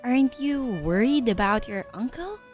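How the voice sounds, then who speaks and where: A woman's voice: on the high side, lively intonation, moderate pace, darkish tone, faint music behind. A woman gamer reacting casually during gameplay, interjecting and reading dialogue aloud.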